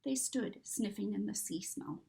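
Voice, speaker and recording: A woman reading a children's story aloud in an expressive, dramatic narrating style. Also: medium pitch, unhurried, creaky. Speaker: story reader.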